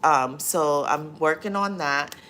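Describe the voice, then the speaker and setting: A woman's voice: sits low, slow pace, a bit bright, slight background noise. A woman hosting a casual livestream, reading viewer comments aloud and answering them with many fillers. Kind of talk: casual live chat.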